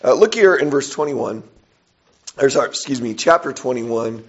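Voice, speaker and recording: A man preaching a sermon with a hoarse, raspy, weak voice from a sore throat, speaking conversationally with frequent pauses and fillers. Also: mid-range voice, lively intonation, lo-fi sound.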